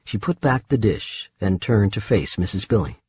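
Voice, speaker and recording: A man's voice: on the low side, lively intonation, moderate pace, a little mellow, slightly rough, no room sound. A man narrating fiction from a script as an audiobook reader, recorded in a studio. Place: dry studio.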